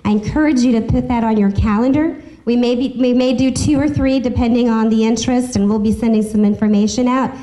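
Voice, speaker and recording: A woman's voice: a little high, a little fast, few pauses, warm tone, poor audio. A woman chatting to a group as she makes informal announcements as host of a business meeting. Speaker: chamber of commerce host.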